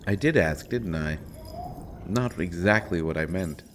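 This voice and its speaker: A man playing a sardonic imprisoned assassin in a roleplay audio drama, speaking deliberately with wry mock courtesy and pointed pauses. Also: low voice, fairly bright tone, a little hiss or hum. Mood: teasing, unimpressed. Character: sardonic imprisoned male assassin, principled, coolly amused. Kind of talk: scripted roleplay audio drama, addressed to listener.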